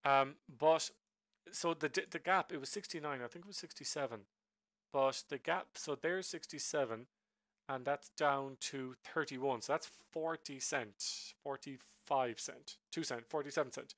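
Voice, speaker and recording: A man with a bright, forceful voice talks through his trades in a loose, rambling way, full of ums and restarts. He is getting over a flu. The sound is dry and close. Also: mid-range voice, a little slow, no room sound. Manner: rambling, many ums and self-corrections. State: sick with a flu, voice recovering. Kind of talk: casual screen-share trade recap.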